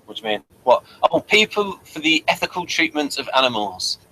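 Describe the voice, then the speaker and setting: A British man hosting a casual review chat, moving haltingly from one point to the next. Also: fairly high pitch, very animated, bright, forceful tone, rough recording. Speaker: host of a TV review show.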